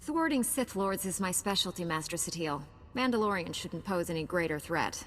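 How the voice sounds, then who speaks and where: A woman's voice: on the low side, expressive, moderate pace, fairly bright tone, a little hiss or hum. A woman playing a confident, capable female Jedi knight in a video game, speaking in an assured, direct way. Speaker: player hero character in a video game. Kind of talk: scripted game dialogue.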